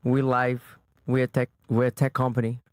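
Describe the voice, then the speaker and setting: A woman's voice: rumbling low, level tone, moderate pace, dry recording. A woman in her twenties with a Brazilian accent, chatting brashly as a podcast guest in slangy, imperfect English. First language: Portuguese. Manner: brash, slangy, broken grammar. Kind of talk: casual chat.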